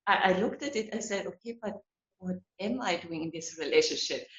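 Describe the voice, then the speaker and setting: A woman from Germany with a German accent, a psychologist and coach, answering interview questions in an animated way with frequent laughs and repeated words. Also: mid-range voice. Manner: animated, laughs between phrases, repeats words as she restarts. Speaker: guest, psychologist and relationship coach. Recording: roomy, dull lo-fi sound.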